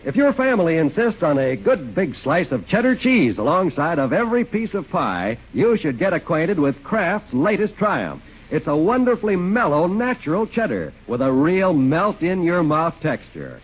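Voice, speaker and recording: A man's voice: a little high, very animated, moderate pace, hardly any pauses, slightly rough. An American man working as a 1940s radio announcer, reading copy and credits in a polished, salesmanlike way. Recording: muffled 1940s radio broadcast, faint music.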